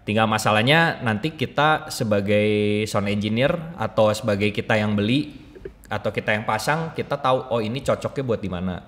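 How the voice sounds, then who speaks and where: A man's voice: on the low side, moderate pace, fairly bright tone, long reverb tail. A man giving informal, explanatory talk as a sound engineer, using everyday examples. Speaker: sound engineer guest sharing tips.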